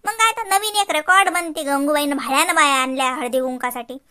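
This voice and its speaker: A woman's voice: high-pitched, fast, sharp tone. A woman playing a sarcastic, chatty young daughter-in-law in a cartoon comedy, mocking and repeating herself in a Varhadi Marathi accent. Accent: Varhadi Marathi from Vidarbha. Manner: mocking, repetitive, gossipy. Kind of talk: exaggerated cartoon comedy acting.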